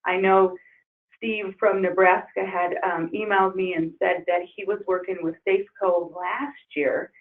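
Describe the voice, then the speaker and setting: A woman trainer losing her voice, leading an upbeat software webinar walkthrough. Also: lowish voice, little pitch movement, a little slow. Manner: upbeat, enthusiastic promoting. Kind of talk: webinar screen-share walkthrough. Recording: tinny phone-like webinar audio.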